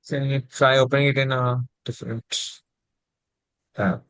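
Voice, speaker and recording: A man's voice: medium pitch, little pitch movement, a little slow, a bit bright, no echo, poor audio. Male quiz contestant, dry sound. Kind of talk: short answers in an online quiz.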